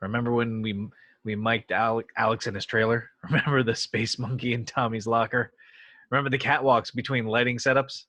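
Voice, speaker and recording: A man playing an earnest actor famed as a heroic sci-fi captain in an amateur table read, halting and sheepish at times. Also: medium pitch, little pitch movement, sharp tone, dry recording. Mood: sheepish, nostalgic.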